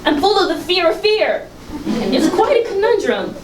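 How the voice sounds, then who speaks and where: Stage play reading, echoey: actress as high-voiced female future researcher, prim, precise, anxious rule-follower. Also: sing-song, normal speed, far from the mic, a crowd in the background. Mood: earnest, anxious. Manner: precise, formal, didactic. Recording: echoey, noisy live room recording.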